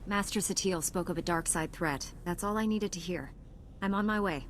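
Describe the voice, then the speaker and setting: A woman's voice: on the low side, a little fast, a little hiss or hum, natural voice. A woman playing an earnest, steadfast Jedi knight in a video game, composed and sincere. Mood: calm resolve.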